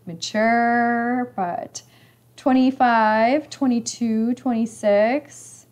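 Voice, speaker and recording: A young woman in her mid-twenties hosts a casual live stream from an indoor room, her voice a bit raspy today. She chats easily and teases her viewers as she reads their comments, with a light laugh never far off. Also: a little high, drawn-out words, a little mellow. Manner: chatty, teasing, laughs often. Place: indoor room with leather couch.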